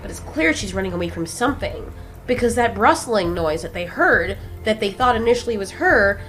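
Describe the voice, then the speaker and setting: A woman narrating a homemade audio drama in a casual, expressive way. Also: medium pitch, a little fast, soft background music. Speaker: narrator and creator.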